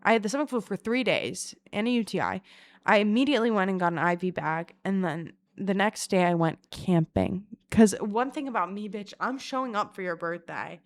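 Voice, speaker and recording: A woman vlogger talks straight to her viewers in a loose, rambling way. Her voice sits in a middle pitch, and her delivery is profane and self-mocking. She often bursts into loud laughter. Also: normal speed. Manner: crude, profane, rambling storyteller. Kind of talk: casual storytelling vlog.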